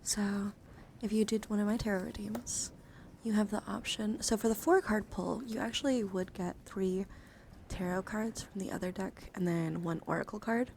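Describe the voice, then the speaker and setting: A woman livestream host speaks in a soft ASMR style, drifting between breathy whispers and hushed, casual talk. Her pitch sits in an ordinary female range, and she lingers on repeated phrases. Clear background noise runs behind her voice. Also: fairly even intonation, normal speed.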